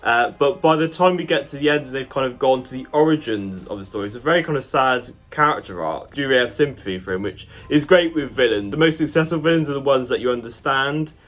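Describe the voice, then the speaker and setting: A man's voice: mid-range voice, lively intonation, fast, few pauses, fairly bright tone. A man giving a casual, enthusiastic review to his viewers as a channel host, chatty and loosely phrased with lots of "kind of" and "like".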